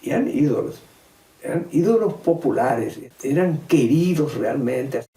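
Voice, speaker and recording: A man's voice: on the high side, lively intonation, measured pace, dark tone. A man reminiscing in an interview, recorded in a roomy space away from the mic. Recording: roomy, distant mic, faint noise.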